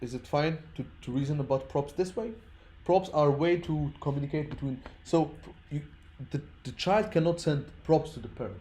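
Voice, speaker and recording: A man's voice: on the high side, normal speed, hardly any pauses, dark tone. A man teaching programming informally as a non-native English speaker, explaining step by step, repeating words and checking that people follow. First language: not English, which is a third language. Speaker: programming instructor.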